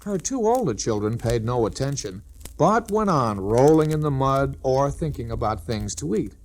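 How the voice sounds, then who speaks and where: A man's voice: mid-range voice, sing-song, moderate pace. A man narrating a scripted children's story in 1960s storybook-record style.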